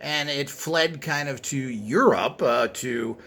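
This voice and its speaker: A man's voice: mid-range voice, takes it slowly, gravelly, sharp tone. A man presenting an informal show-and-tell in a hesitant, rambling way, recorded indoors behind a handheld camera.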